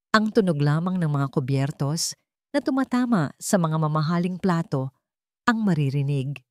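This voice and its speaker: A woman narrates a scripted romance drama. Her voice sits a little low for a woman, and the recording is dry and close. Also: a little mellow, dry recording. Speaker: story narrator.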